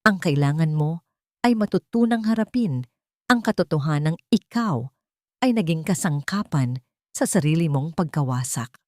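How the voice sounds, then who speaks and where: A woman voicing a wronged mother in an audiobook drama, gentle, grave and resolute, with soft, measured, earnest speech. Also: low-pitched, lively intonation, dry recording, studio quality. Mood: grave reproach.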